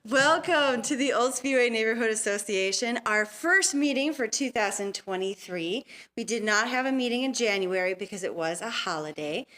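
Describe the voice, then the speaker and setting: A woman's voice: a little high, lively intonation, moderate pace, few pauses, a bit bright. A woman hosting a neighborhood association meeting in a room, welcoming the members and introducing the guest speaker. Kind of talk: opening a community meeting.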